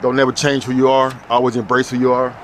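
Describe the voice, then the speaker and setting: A man's voice: medium pitch, monotone, fast talker, slight background noise. A man running a casual street interview, repeating answers back and stammering through restarts. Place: city street outdoors.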